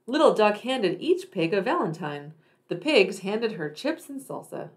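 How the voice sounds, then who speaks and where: A woman's voice: medium pitch, sing-song, a little slow. A woman reading a children's picture book aloud expressively, with emphatic, dramatic phrasing for young listeners. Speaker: read-aloud storyteller for children.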